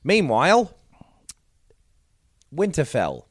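A man's voice: a little high, wildly swooping pitch, slow pace, dry recording, studio quality. A man casually recapping a TV episode, joking and swearing as he goes. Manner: profane, joking, playful voices.

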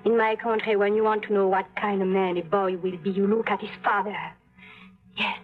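An actress playing a proud young foreign woman in a 1940s radio drama, soft-spoken and emotional with formal phrasing. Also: medium pitch, little pitch movement, brisk, lightly acted. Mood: upset. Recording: tinny old radio broadcast with music.

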